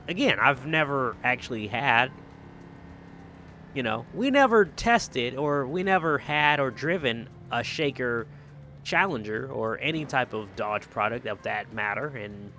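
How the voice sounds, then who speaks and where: A man's voice: fairly high pitch, a bit bright. A man hosting a gaming channel with casual, enthusiastic commentary on cars, often repeating phrases for emphasis. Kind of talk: casual video game roleplay commentary on cars.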